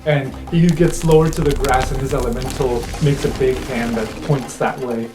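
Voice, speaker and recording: A man's voice: medium pitch, steady tone, normal speed. A man narrating an improvised tabletop roleplaying game as game master. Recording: roomy echo under music. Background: music.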